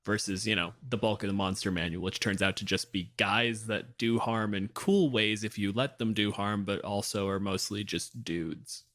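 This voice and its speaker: Guy podcast host, lowish voice, dry recording, opinionated chat about tabletop games. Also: fairly even intonation, moderate pace, few pauses, fairly bright tone, no room sound. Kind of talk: casual discussion of tabletop gaming.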